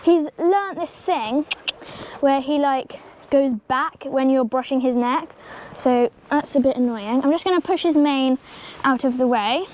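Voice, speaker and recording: A woman's voice: sits high, a little slow, darkish tone, slight background noise. A teenage girl with a slight cold, casually explaining horse grooming in a stable yard, full of ums and likes. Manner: chatty, rambling, many ums and likes, talks to the pony. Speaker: horse-care vlogger. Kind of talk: casual how-to tutorial. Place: outdoor stable yard beside a pony.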